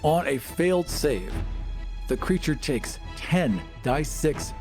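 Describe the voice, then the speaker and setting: A man's voice: fairly high pitch, lively intonation, slow. A man playing a tabletop game, describing his actions and rolls in casual talk. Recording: music behind the voice. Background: music.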